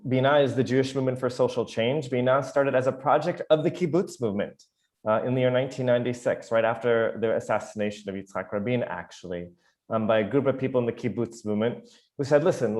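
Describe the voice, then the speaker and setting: A man's voice: medium pitch, a little mellow. A man in his thirties with a Chicago American accent, giving a casual, chatty talk as guest educator over a video call, with many fillers and light self-deprecating humor. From United States.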